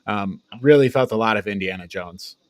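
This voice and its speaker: A man's voice: on the low side, moderate pace, no echo. Casual male podcast host, dry close sound. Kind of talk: casual panel movie review chat.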